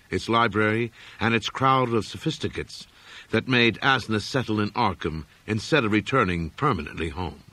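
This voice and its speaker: A man reading a gothic horror story aloud as an audiobook narrator, in a measured, formal, expressive manner. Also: lowish voice, slightly rough, dry recording.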